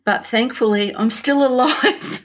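An Australian woman speaking as an interview guest, candid and reflective, in a halting, casual way. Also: on the high side. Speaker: podcast guest, artist.

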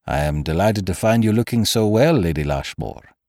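A man's voice: fairly low pitch, animated, moderate pace, a little mellow, no echo, clean studio sound. A man voicing a dramatic, anxious, pleading noblewoman in an audiobook. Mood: cool, guarded. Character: beautiful, cold yet frightened foreign noblewoman. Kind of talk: audiobook character acting.

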